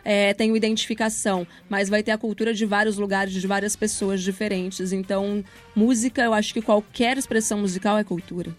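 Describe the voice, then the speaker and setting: A woman's voice: medium pitch, fairly even intonation, a little fast, few pauses, faint music behind. A woman in her thirties with a Brazilian accent, talking casually as an interview guest and explaining things in a chatty way with examples. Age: 30s. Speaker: radio professional, interview guest.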